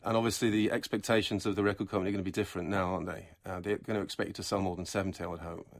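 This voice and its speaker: A man's voice: low voice, little pitch movement, very fast, vocal fry, dry recording. A man hosting a late-night radio show in a studio, chatting with a guest and summarizing her answers in German. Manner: chatty, leading questions with trailing tags. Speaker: radio host. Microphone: studio microphone.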